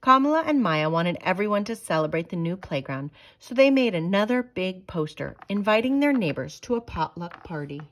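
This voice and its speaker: Expressive woman reading to children. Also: fairly low pitch. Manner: expressive, deliberate phrasing for children. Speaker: storybook reader. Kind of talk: children's read-aloud narration.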